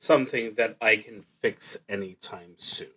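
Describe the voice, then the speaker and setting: A man casually narrating a solo roleplaying game as a streamer, thinking aloud in a halting way and muttering to himself between announcements. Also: fairly low pitch, pushed and bright, breathy edge.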